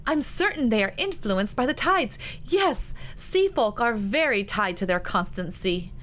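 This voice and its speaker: A woman reader plays a chatty young married lady from a period storybook. She is energetic and encouraging, with a raised pitch. There is noticeable noise in the background. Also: unhurried. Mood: animated explaining. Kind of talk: audiobook character dialogue.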